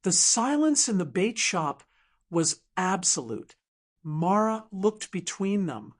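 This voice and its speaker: A woman's voice: mid-range voice, sing-song, slow. A woman narrating a scripted dramatic audiobook story.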